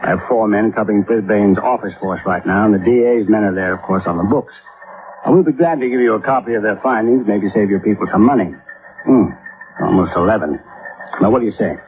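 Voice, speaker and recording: A steady actor-played male police sergeant from a fifties radio play. His voice sits fairly low and plain-spoken, on a tinny old broadcast with faint noise. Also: brisk, natural voice. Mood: cooperative, matter-of-fact. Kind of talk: 1950s radio drama acting.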